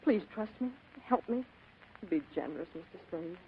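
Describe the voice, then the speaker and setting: A woman's voice: fairly low pitch, animated, normal speed, a little hiss or hum. A woman playing a secretive, pleading femme fatale in a 1940s radio drama, with dramatic delivery. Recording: tinny, narrow old radio broadcast.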